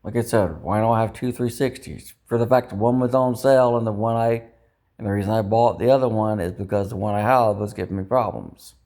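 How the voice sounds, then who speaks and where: Low-voiced man rambling to himself. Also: brisk, dark tone. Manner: self-doubting, ruminating, mildly profane. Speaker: vlogger. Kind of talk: rambling casual vlog monologue.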